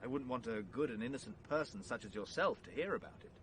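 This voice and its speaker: An actor playing an anxious, well-bred British gentleman on the run in a vintage radio drama, plummy, earnest and flustered. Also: mid-range voice, animated, fast, natural voice. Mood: apologetic. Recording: noisy vintage radio play recording.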